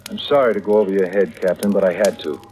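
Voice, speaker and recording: A man's voice: low voice, steady tone, quick pace, darkish tone, soft background music, close to an everyday voice. An actor playing an earnest, determined man in a 1940s radio thriller, speaking in a dramatic manner. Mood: insistent, polite. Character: earnest, determined man haunted by growing dread. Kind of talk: 1940s radio acting with first-person narration. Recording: vintage radio drama, musical underscore.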